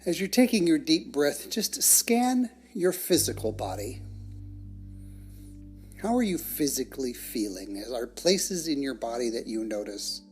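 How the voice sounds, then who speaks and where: A person leading a guided meditation in a measured, soothing manner, with long pauses between phrases. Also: mid-range voice, big pitch swings. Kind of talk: guided meditation, scripted narration. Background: soft plucked guitar music.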